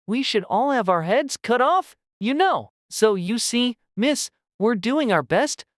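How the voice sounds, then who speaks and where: Man acting humble rustic gardener, high raised voice, folksy, deferential, dry storybook recording. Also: big pitch swings, a little slow, dry recording, heavily acted. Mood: anxious, deferential. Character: humble, anxious male gardener, rustic speech.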